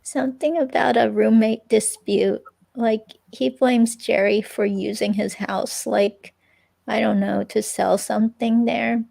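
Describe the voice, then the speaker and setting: A woman reading the part of an evasive, unsure ex-girlfriend in a police interview, with a creaky, hesitant, rambling delivery. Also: a little high, steady tone, a little slow, mellow, heavily acted. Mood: hesitant, vague. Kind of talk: dramatic reading of an interview transcript, conversational.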